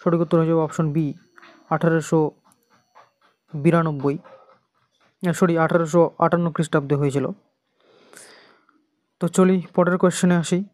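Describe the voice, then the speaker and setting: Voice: medium pitch, fairly even intonation, moderate pace, pauses often, a little mellow, no room sound. Casting: exam tutor with an Indian Bengali accent, level delivery, methodical question-and-answer reading, close dry sound. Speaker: exam coaching teacher.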